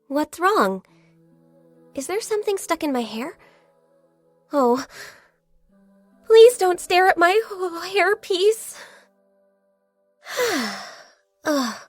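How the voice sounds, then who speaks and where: An actress playing a gentle, diligent, shy and youthful half-beast secretary in a video game, speaking politely and earnestly. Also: female voice, sits high, dry recording, clean studio sound, heavily acted. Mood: flustered, shy, then sleepy, polite. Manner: polite, earnest, hesitant when flustered. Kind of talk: video game character voice lines.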